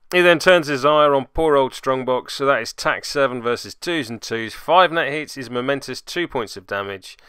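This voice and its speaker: A man with a bright, crisp voice narrates a tabletop miniatures match move by move. He drops in wry, self-deprecating asides. The recording is dry and close, with no room sound. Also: medium pitch, no echo. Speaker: tabletop game player and commentator. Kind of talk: voice-over play-by-play commentary of a miniatures game.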